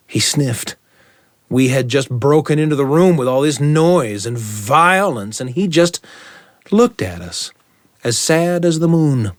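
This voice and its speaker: Animated male storyteller, close and dry. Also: mid-range voice, no echo. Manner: deliberate, suspenseful, with pauses and swings for effect. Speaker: storyteller and show host. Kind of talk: dramatic first-person oral storytelling.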